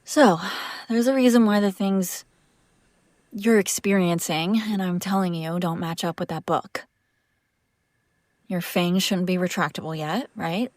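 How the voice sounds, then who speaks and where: A woman's voice: on the low side, a little slow, pauses often, dry recording, lightly acted. A woman playing a female vampire master, an exasperated but protective caretaker, in a conversational, sardonic audio roleplay. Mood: calmer, explaining. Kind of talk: intimate audio roleplay acting, one side of a conversation.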